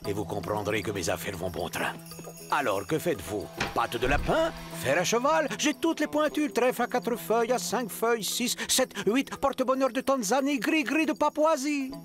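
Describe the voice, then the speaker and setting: A man's voice: extremely high pitch, animated, normal speed. A man playing a theatrical, scheming charm peddler in a cartoon, grandiloquent and grumpy, muttering and exclaiming. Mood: pompous, salesmanlike. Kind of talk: animated cartoon acting. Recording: music under the voice.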